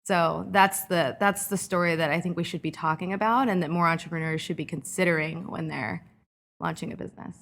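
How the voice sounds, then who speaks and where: A woman in her thirties from California talks through her story as a podcast guest. Her voice sits a little low, and she sounds thoughtful and steady, leaning on fillers like "um" and "like". She grows lively when she tells anecdotes. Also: brisk, gravelly. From California, Orange County. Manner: reflective, lively when telling anecdotes, frequent "um" and "like". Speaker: guest entrepreneur. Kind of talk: conversational podcast interview.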